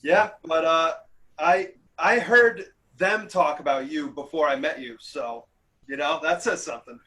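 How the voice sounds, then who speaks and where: A man who is a guest comedian on a casual video call chat, sounding relaxed and supportive. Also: fairly high pitch, a little slow.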